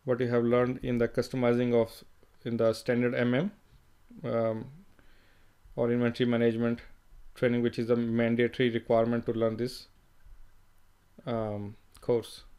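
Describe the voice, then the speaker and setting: Man explaining software step by step. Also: mid-range voice, completely flat delivery, moderate pace, long pauses, smooth. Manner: plain step-by-step explaining with fillers and self-corrections. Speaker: software trainer. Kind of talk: screen-recorded software tutorial.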